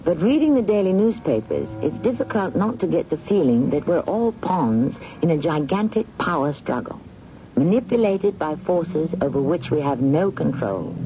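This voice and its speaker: Radio mystery host: wry and ominous, theatrical swings of pitch, dull vintage broadcast sound. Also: male voice, sing-song, moderate pace, dark tone, a little hiss or hum. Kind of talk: 1970s radio mystery narration.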